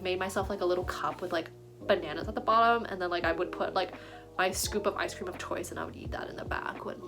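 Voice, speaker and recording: A woman in her twenties chatting casually to camera as a vlogger, filling her sentences with "like" and small laughs, raised speaking Chinese at home. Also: medium pitch. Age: 20s. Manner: chatty, heavy use of "like", giggly asides. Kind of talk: casual chat.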